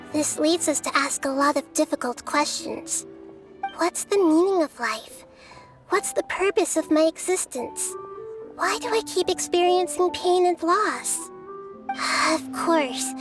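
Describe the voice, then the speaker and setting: Game role: a wise little girl goddess, high-pitched, earnest and gentle, measured delivery, music underneath. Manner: measured, thoughtful, articulate, soft-spoken. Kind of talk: scripted video game dialogue.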